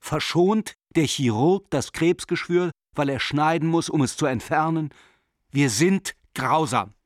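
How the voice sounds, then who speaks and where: A man's voice: a little high, measured pace, no room sound, clean studio sound, lightly acted. An actor playing a zealous, cold nationalist man reading his letter in a radio drama, emphatic and scornful.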